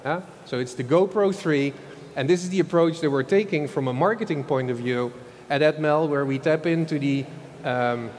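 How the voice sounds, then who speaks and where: Dutch-accented man speaking in a reverberant hall. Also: a little high. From Netherlands. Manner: loose, chatty, tags lines with "huh?". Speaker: marketing executive giving a conference talk. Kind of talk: conference presentation. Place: large old event hall. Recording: long hall reverb, faint background noise.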